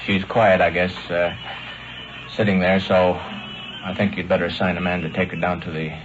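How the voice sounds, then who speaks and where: Low-voiced, halting American police lieutenant, old recording. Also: male voice, flat delivery, over music. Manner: halting, patient, polite. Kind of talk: calm interviewing, official. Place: police station room. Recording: noisy, dull 1950s field radio recording.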